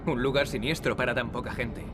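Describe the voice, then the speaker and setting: A man's voice: medium pitch, steady tone, fairly quick, background noise, natural voice. A man with a Castilian Spanish accent playing a cocky, sarcastic young adventurer in a video game, wry and teasing. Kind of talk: video game acting, European Spanish dub. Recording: loud music behind the dialogue. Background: loud game music.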